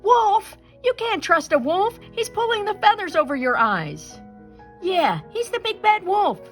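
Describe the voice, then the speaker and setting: Woman voicing a high, fretful storybook hen. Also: sing-song, unhurried, a bit bright, faint music behind, exaggerated voice. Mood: suspicious. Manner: urgent exclamations. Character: alarmist flock of chickens, fretful and dramatic.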